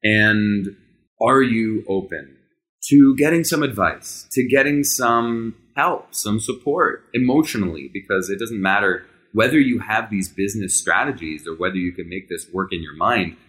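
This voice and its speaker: A fairly low-pitched man in his thirties, a native Hebrew speaker, hosting his own podcast. He tells a personal story candidly, sometimes rushing ahead and sometimes stretching his words out. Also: fairly bright tone. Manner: candid, conversational, tempo shifts between rushed and drawn out. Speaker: podcast host.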